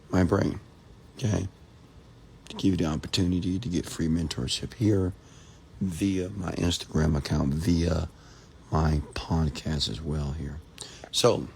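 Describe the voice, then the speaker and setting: A man from Texas, hoarse after a seminar, hosting a late-night livestream, greeting viewers by name and giving motivational talk. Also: sits low, darkish tone, a little hiss or hum. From Texas, USA. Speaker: entrepreneur hosting a live stream.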